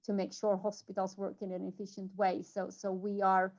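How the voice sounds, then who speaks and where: A woman's voice: mid-range voice, monotone, moderate pace, darkish tone, dull audio. A middle-aged woman from Finland giving a conference presentation over a video call, talking with frequent fillers and restarts. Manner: hesitant, many fillers and restarts. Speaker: conference speaker.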